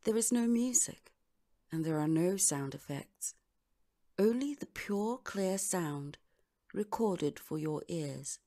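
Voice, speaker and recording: A woman voice-over artist delivering a scripted dramatic monologue in a deliberate, theatrical manner with measured pauses. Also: on the low side, expressive, warm tone.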